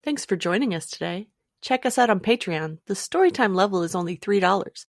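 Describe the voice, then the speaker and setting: A woman reading a scripted podcast outro in a friendly, brisk way. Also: mid-range voice, no echo, studio quality. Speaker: podcast host.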